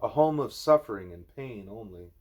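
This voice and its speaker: A man reading a spiritual letter aloud at home, in a measured, earnest voice with deliberate pauses. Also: fairly low pitch, lively intonation, darkish tone. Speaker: reader of a spiritual book.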